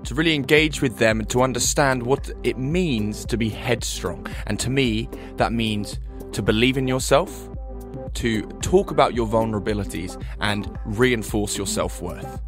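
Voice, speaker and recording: A man hosting an interview podcast, giving earnest, measured introductions. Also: mid-range voice, music in the background. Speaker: podcast host. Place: London.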